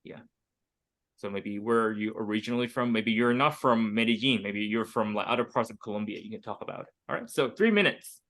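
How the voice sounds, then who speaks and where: A man's voice: fairly low pitch, fairly quick, fairly bright tone. A man from Hong Kong in his thirties, teaching an online English group lesson over a video call, chatty and full of fillers and restarts.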